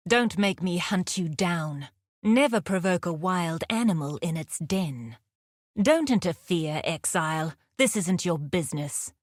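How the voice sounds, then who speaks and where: A fierce female hunter of the wilds in a video game. She is grim and blunt, weighing her words with slow, grave deliberation. The sound is close and dry. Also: lowish voice, dry recording. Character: fierce, grim female wilderness huntress and beast scholar. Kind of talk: scripted video game character lines.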